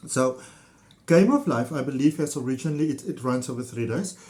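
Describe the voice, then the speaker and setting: A man's voice: mid-range voice, expressive, fairly quick, darkish tone. A man with a South African accent sharing his healing-coaching experience in a casual, enthusiastic, meandering way, full of fillers. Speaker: ThetaHealing practitioner. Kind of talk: casual personal testimonial to camera.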